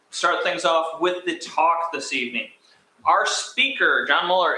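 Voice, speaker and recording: A man hosting a history roundtable meeting, chatting casually through announcements before introducing the speaker. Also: fairly high pitch. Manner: relaxed, chatty, addresses the crowd as gang. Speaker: roundtable president and host. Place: meeting room with live audience. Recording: echoey room.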